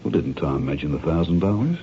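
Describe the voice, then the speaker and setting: Very deep, courteous criminal mastermind. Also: male voice, big pitch swings, warm tone, heavily acted. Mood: smoothly persuasive. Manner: polite, formal, measured persuasion. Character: courteous masked male crime planner, smooth and calculating. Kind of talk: 1970s radio drama acting. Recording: dull, noisy old radio drama recording.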